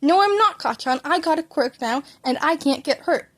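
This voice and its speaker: A woman reading a story and voicing an excited little boy who chatters eagerly in childlike run-on phrases. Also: high voice, normal speed, voice pushed up. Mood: indignant.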